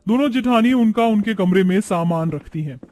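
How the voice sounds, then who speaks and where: A man reads scripted story narration. His voice is very high for a man. He speaks as a storyteller. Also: lively intonation, normal speed, darkish tone, faint music behind.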